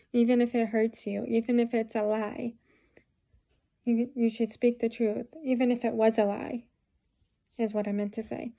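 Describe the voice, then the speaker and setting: A young woman talking to her phone camera in a small room, giving rambling advice with many fillers, a bit hoarse from a cold. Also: on the high side, dark tone. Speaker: vlogger. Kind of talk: casual talk to camera. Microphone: phone camera at close range.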